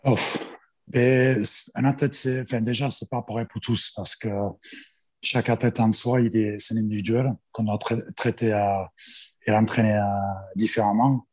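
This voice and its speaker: A man's voice: on the low side, steady tone, moderate pace, darkish tone, husky. A man in his forties with an Australian accent, a strength coach who speaks French hesitantly as a podcast guest, with many fillers and restarts. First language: English. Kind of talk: interview guest.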